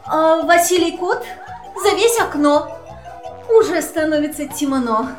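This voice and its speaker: A woman's voice: very high voice, animated, a little slow, reverberant, over music. A woman voicing an elegant, gracious cat hostess in a children's audio play, genteel and cooing. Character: elegant, gracious female cat, lady of the house.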